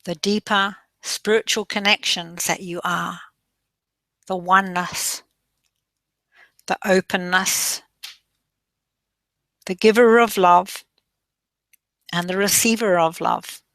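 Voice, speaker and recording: A woman guides a meditation at a very unhurried pace, her tone bright and clear. She draws out her phrases, soothing and gentle, slipping now and then into soft breath. The sound is close and dry, with no room around it. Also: on the low side, fairly even intonation, no room sound.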